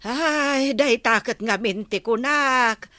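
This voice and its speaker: A woman with an Ilocano accent playing an excitable, gossipy older village auntie in a comedy radio drama. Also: fairly high pitch, slow, dry recording. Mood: scandalized.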